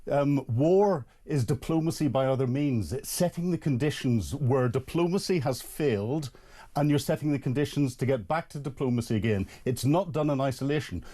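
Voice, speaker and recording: A man with a warm, mellow tone speaks as a studio analyst on a talk radio show. He works through his points analytically, with frequent ums and restarts. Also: mid-range voice, normal speed. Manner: plain, analytical, many fillers. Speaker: studio guest, security analyst.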